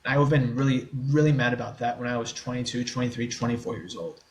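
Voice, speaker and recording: A man's voice: medium pitch, fairly even intonation, quick pace, low-quality recording. A man in his twenties from the New Orleans area, a guest in a podcast interview who stutters openly, with blocks and repeated sounds, and talks in a candid, easygoing way.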